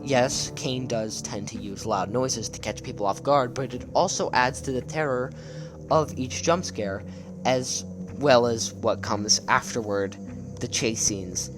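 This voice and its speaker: A man's voice: medium pitch, a little slow, music in the background. A man giving casual scripted video commentary in a conversational, enthusiastic way. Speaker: video essay narrator. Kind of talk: casual scripted commentary on horror web series.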